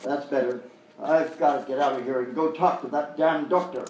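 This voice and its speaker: An actor playing an excitable, dim-witted police chief in a live comedy sketch, blustering and befuddled. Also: male voice, mid-range voice, normal speed, close to an everyday voice. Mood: agitated, befuddled. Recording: echoey, distant live stage recording, faint noise.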